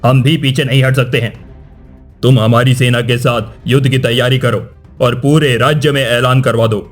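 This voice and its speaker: A man playing a proud older king and father in a cartoon, with measured, declamatory speech. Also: medium pitch, steady tone, faint music behind, close to an everyday voice. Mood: defiant, resolute. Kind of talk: dramatic Hindi cartoon dubbing.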